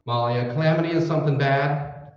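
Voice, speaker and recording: A man's voice: a little high, a little fast, close to an everyday voice. A man playing a blustery, folksy, self-important husband in a 1940s radio comedy. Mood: indignant, folksy. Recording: muffled, echoey room, far from mic.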